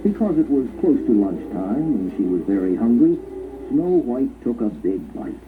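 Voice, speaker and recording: A man reading scripted children's story narration as an expressive, dramatic storyteller. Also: mid-range voice, moderate pace, noisy background. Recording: muffled phone-like audio with music behind.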